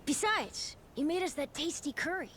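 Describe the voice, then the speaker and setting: An actress playing a breezy, cheeky pirate crewmate in a video game cutscene. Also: female voice, sits high, lively intonation, normal speed, fairly bright tone, a little hiss or hum. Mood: friendly.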